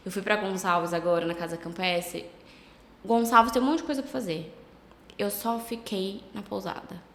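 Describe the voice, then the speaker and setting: A Brazilian woman with a slight cold, a travel agency owner chatting casually as a podcast guest, relaxed and full of fillers. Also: mid-range voice, normal speed, a little hiss or hum. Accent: Brazilian Portuguese. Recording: echoey room with long reverb.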